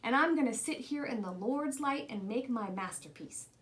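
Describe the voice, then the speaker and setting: A woman's voice: fairly high pitch, expressive, normal speed, close to an everyday voice. A woman voicing a proud, stubborn old grandmother from a children's storybook in a firm, folksy way.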